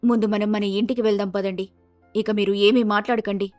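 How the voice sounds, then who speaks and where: A woman voicing a kindly, motherly character with a coaxing manner in an animated moral story. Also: mid-range voice, a little fast, dry recording, soft background music, lightly acted. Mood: caring, coaxing.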